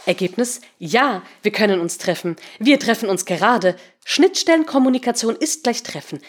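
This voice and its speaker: A woman narrator voicing a naive, emotionless artificial intelligence in an audiobook, with a measured, clipped, stilted delivery. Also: mid-range voice, expressive, pitched above the performer's own voice, lightly acted. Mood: matter-of-fact.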